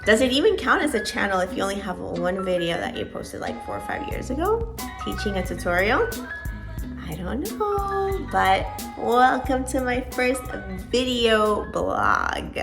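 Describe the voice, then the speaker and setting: Chatty woman with a gravelly edge. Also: mid-range voice, a bit bright. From born in Venezuela, raised in Georgia. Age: 30s. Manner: chatty, rambling, self-deprecating. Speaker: vlogger. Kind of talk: casual chat to camera.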